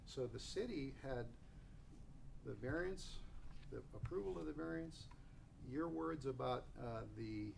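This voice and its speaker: A man's voice: mid-range voice, lively intonation, a little slow, gravelly. A man chairing a formal public meeting, speaking in an insistent, argumentative way. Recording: noisy public meeting recording.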